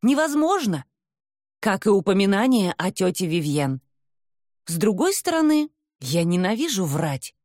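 Woman, first-person fiction narration, expressive, swooping intonation, dramatic delivery, mid-pitched, very dry recording. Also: a little slow, no echo. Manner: dramatic, acts out dialogue. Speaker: audiobook narrator.

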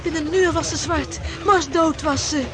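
A woman's voice: high voice, fairly even intonation, moderate pace, natural voice. An actress playing a frightened, superstitious townswoman in a period radio drama, gossiping anxiously. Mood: insistent, fearful. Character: frightened, superstitious townswoman of plague-time Amsterdam. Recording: noisy outdoor street scene with crowd.

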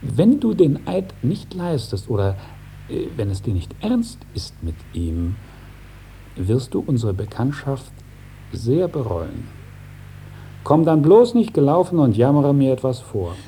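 An actor playing an old, solemn and sly dragon who speaks in measured, formal admonitions in a 1950s German radio play. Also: male voice, mid-range voice, very animated, warm tone. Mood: stern warning. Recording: faintly hissy 1950s radio recording.

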